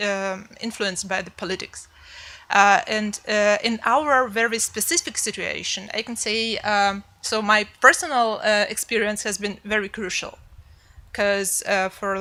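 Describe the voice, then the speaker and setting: Bright-voiced woman, halting panelist. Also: medium pitch. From Ukraine. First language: Ukrainian. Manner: thoughtful, halting, many fillers. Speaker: literary translator, panelist. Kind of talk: panel discussion.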